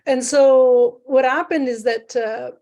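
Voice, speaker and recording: A woman's voice: high-pitched, steady tone, slow, mellow. A woman from Sicily, Italy, talking as a guest on a remote call, in a casual, hesitant way with many fillers. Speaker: guest, private lender. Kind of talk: casual interview.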